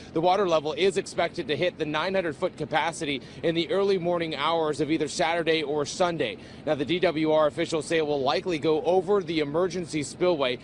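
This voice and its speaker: An American man reporting in broadcast news style from an outdoor location. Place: outdoors near a dam spillway. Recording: outdoor location, faint rumbling background noise.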